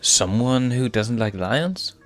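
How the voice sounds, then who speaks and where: A man's voice: medium pitch, expressive, slow pace, a bit bright, soft background music, voice pushed up. A man voicing a playful, cocky young male lion cub prince in a boyish, jokey manner. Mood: curious, joking.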